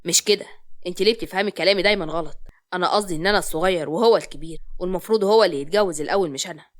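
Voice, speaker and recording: Woman voicing a lovestruck young Egyptian man, fast-talking. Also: lowish voice, lightly acted. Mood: insistent, tender. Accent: Egyptian Arabic. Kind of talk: acted Egyptian romance audio story.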